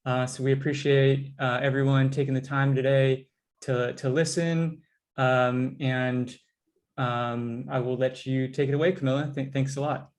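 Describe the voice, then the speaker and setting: A man's voice: medium pitch, flat delivery, a little slow. A man hosting a webinar, introducing the guest speaker hesitantly with many fillers.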